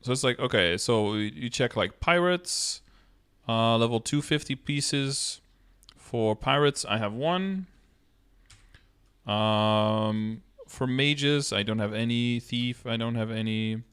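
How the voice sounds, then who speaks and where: A man's voice: medium pitch, slow pace, fairly bright tone, clean tone. A man in his thirties giving a casual step-by-step explanation as a game streamer, speaking into a close mic indoors. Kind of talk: casual live-stream tutorial, answering chat questions. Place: indoor room, streaming setup.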